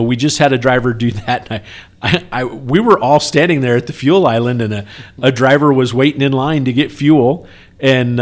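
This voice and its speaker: A man co-hosting a trucking company podcast, chatting casually in an earnest, explanatory way and often checking for agreement. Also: medium pitch. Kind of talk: casual workplace talk with anecdotes.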